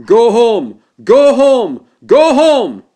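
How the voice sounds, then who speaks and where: A woman voicing an angry older male newspaper boss who keeps shouting, in a fan audio drama. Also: on the high side, very animated, extremely slow, voice pushed up, heavily acted. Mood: furious. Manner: blustering, shouting.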